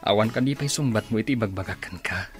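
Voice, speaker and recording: An actor with an Ilocano accent playing an earnest, pleading suitor in a romantic radio drama. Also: male voice, on the low side, fast, music in the background, lightly acted. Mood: pleading, tender.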